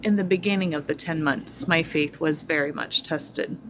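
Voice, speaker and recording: A woman in her forties speaking slowly and reflectively to her viewers, pausing often with fillers. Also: sits low, background noise. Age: 40s. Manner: slow, reflective, many fillers. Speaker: spiritual vlogger. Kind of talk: personal testimony to viewers.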